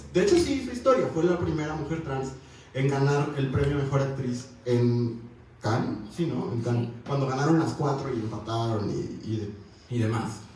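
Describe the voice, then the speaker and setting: Animated Mexican man in an echoey hall. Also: fairly high pitch, recorded from a distance, a little hiss or hum. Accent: Mexican Spanish. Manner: animated, wry, conversational with frequent fillers. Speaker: panelist. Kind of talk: university panel discussion. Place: university auditorium.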